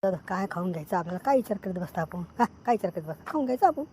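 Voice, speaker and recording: A woman's voice: medium pitch, lively intonation, racing, darkish tone, lightly acted. A woman voicing a coaxing, nosy village man in an amateur cartoon comedy, insistent and repetitive, with a rural Maharashtrian accent. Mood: urging. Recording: noticeable background noise.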